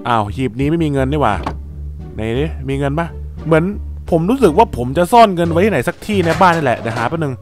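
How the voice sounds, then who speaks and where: A man narrating and voicing his own character in a voiced game story, excitable and full of exclamations. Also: fairly high pitch, fast, soft background music. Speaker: gaming channel host and voice actor.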